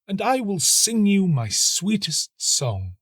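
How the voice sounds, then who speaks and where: A man's voice: high-pitched, wildly swooping pitch, takes it slowly, a bit bright, slightly rough, no echo, voice pushed up, exaggerated voice. A man voicing a tender female nightingale in a storybook, earnest and romantic, with a lyrical, wondering delivery. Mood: pleading. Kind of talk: storybook reading.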